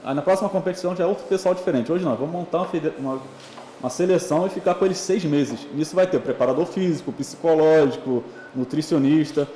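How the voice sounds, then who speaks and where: Um homem convidado de um podcast, atleta de tiro com arco, contando histórias em conversa descontraída e cheia de vícios de linguagem. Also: male voice, on the high side, warm tone, echoey room, slight background noise. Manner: fast, chatty, storytelling with filler words. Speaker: podcast guest, archery athlete. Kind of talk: casual interview chat.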